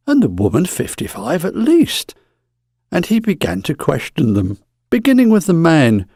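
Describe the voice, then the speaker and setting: A man narrates a story with theatrical flair. His pitch moves in lively sweeps and drops into creak, and the sound is dry. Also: no room sound.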